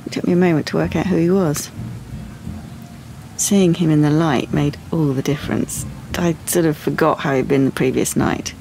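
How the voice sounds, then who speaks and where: A woman playing a calm, self-contained young artist in an audio play, with a dry manner. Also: low-pitched, moderate pace, vocal fry, slight background noise, low-quality recording. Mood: reflective. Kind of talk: audio play monologue and dialogue. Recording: music behind the voice.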